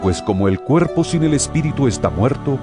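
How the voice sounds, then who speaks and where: Emphatic, animated apostle voiced by a man over music. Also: mid-range voice, brisk, close to an everyday voice. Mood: assertive. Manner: emphatic, rhetorical, admonishing. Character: earnest male apostle exhorting and admonishing his brethren. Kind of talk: dramatized scripture reading with oratorical delivery.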